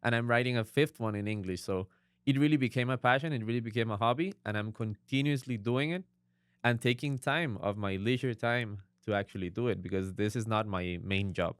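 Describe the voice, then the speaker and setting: A young man with a Costa Rican Spanish accent, speaking English as a second language. His voice is smooth and clear, recorded close and dry, and he talks earnestly while dropping in small agreeing murmurs. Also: mid-range voice, fairly quick, dry recording. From Costa Rica. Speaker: guest author. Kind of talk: casual interview.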